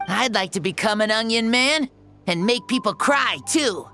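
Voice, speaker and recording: An actress voicing an innocent, eager little child in a video game, wide-eyed and curious in a singsong way. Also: female voice, on the high side, lively intonation, normal speed, pushed and bright, faint music behind. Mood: excited.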